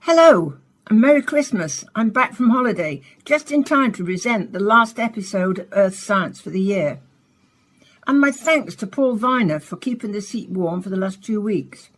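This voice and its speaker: An actress playing a composed, courteous radio science presenter in a radio play, speaking in a polished, formal way. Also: female voice, mid-range voice, animated, normal speed, lightly acted. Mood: bright, presenterly. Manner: polished, formal, carefully phrased. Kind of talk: scripted radio play, science broadcast delivery.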